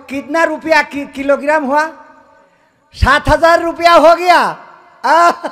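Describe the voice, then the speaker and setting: A man's voice: extremely high pitch, fairly bright tone. A man performing a comic skit in a colloquial, indignant, scolding manner. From Bangladesh. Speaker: comedian. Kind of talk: comic skit with mock phone call.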